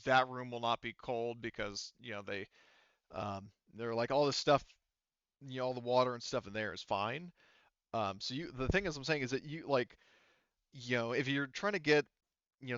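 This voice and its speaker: A man speaking as game master, explaining a tabletop roleplaying game in a casual, halting way. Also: medium pitch, fairly bright tone, clear, resonant tone, dry recording, muffled recording.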